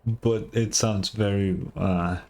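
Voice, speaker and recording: A man's voice: lowish voice, steady tone, takes it slowly, darkish tone. A man giving casual game commentary as a streamer, musing in a laid-back, hesitant way.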